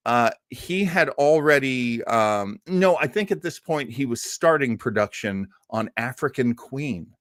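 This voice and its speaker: A man presents as the host of a casual live show. His voice swings widely in pitch, giving his chatty, enthusiastic delivery a lively shape. The sound is dry, with little room around it, and he has a dryly joking way of talking. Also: a little slow, few pauses, no echo. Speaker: host of a live listening party.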